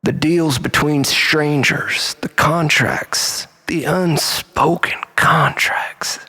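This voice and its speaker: A man performing a theatrical first-person monologue as a storyteller, melodramatic, swinging between exclamation and breathy hush. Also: on the high side, steady tone, drawn-out words.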